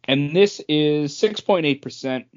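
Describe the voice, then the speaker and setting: A man's voice: fairly high pitch, measured pace, dull audio. A man chatting casually as a podcast co-host. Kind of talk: casual chat.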